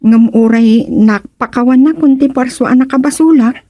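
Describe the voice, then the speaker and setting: Actress doing a frog princess in radio comedy, high soft mellow voice, slow n theatrical. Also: female voice, no room sound, clean studio sound. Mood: plaintive. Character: mysterious frog princess turned beautiful young woman. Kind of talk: comedy radio drama acting.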